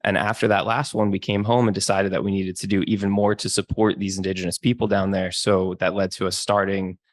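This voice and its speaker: A young man with a very deep voice talks in a relaxed, easygoing way and laughs easily. The recording is dry and close, with no room sound around him. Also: a little fast, dry recording. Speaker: podcast guest. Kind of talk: casual chat.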